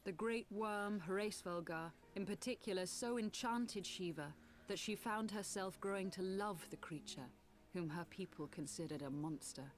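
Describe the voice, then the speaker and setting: An actress playing a solemn noble rebel woman in a fantasy game, telling tragic history in formal, archaic language with measured delivery. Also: mid-range voice, close to an everyday voice. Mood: solemn storytelling. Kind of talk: theatrical fantasy game acting.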